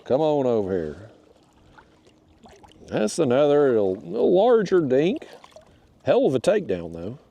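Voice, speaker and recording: A man's voice: mid-range voice, big pitch swings, unhurried, gravelly, pauses often, warm tone, muffled recording. A man, stuffed up and nasal, chatting to viewers and to the fish while out on a kayak. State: stuffed-up, nasal from a cold wind. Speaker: kayak fishing channel host. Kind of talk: casual narration while fishing. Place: outdoors on a kayak on a river.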